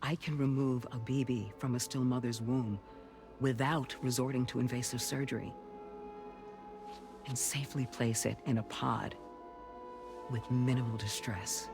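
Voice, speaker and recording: A man playing a composed, kindly doctor in a video game drama, speaking in a measured, formal, reassuring way. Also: medium pitch, steady tone, over music, lightly acted. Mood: explanatory. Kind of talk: dramatic game cutscene acting.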